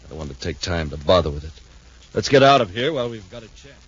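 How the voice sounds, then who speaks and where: An actor playing an impatient, domineering gang leader, curt and snapping, in a 1950s radio serial. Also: male voice, low-pitched, sing-song, fast, breathy edge, full character voice. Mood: curt, urgent. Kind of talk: 1950s radio villain acting. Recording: muffled 1950s radio recording.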